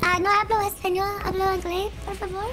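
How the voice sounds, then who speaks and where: A young woman streaming a game, chatting playfully in halting Spanish. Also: very high voice, level tone. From Canada. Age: young adult. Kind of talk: casual gaming chat. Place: bedroom streaming setup. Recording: game music clearly behind.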